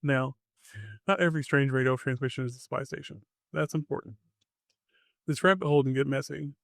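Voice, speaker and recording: Gravelly man narrating a mystery podcast. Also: medium pitch, fairly even intonation, fairly quick, fairly bright tone, no echo, clean studio sound. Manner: wry asides, short dramatic phrases. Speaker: podcast host.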